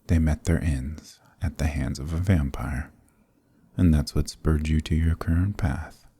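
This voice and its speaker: A man playing a sadistic, possessive vampire lord in an ASMR roleplay, with a breathy, gravelly voice and a menacing, mockingly tender purr. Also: very deep voice, a little slow, mellow, no room sound, exaggerated voice. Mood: mocking, silky. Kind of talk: ASMR roleplay audio drama.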